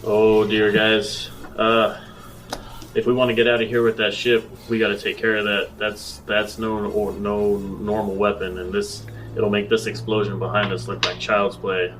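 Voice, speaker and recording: A man's voice: lowish voice, little pitch movement, normal speed, fairly bright tone, low-quality recording. A man chatting casually as a player in a tabletop roleplaying game. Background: background music.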